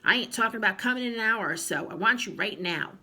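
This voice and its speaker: A stubborn old frontier grandmother scolds and nags in a raised, drawling voice, put on by a woman reading a storybook. Her tone has a gravelly, husky edge and a sharp, bright bite. She bosses everyone around her. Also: medium pitch, lively intonation, a little fast, pitched above the performer's own voice, exaggerated voice. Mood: scolding. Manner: bossy, stubborn, rural drawl, nagging.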